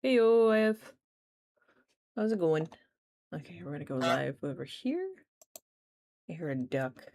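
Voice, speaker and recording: A woman live-streams a game in an unhurried, chatty way, her voice rough and sleepy. Her pitch swings up and down a lot as she giggles and talks to her chat, with a faint hiss behind her. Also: very animated, darkish tone. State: rough, tired morning voice. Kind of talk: casual gaming livestream chat.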